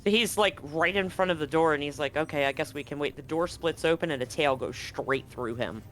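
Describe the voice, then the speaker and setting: A man with a slightly high voice runs a tabletop roleplaying game as game master. He improvises narration and talks through rules, with music playing faintly behind him. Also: brisk, a bit bright.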